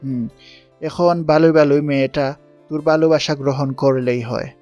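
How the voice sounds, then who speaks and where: A man playing a smooth, self-assured schoolboy charmer in an audio love story. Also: fairly high pitch, steady tone, moderate pace, a little mellow, lo-fi sound, faint music behind, natural voice. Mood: casual, boastful.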